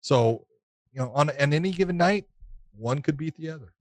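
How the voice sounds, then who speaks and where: A man's voice: a little high, expressive, normal speed, no room sound. Emphatic man on a dry podcast mic. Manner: emphatic, repeats points for stress. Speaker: podcast co-host, former referee. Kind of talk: casual sports podcast chat.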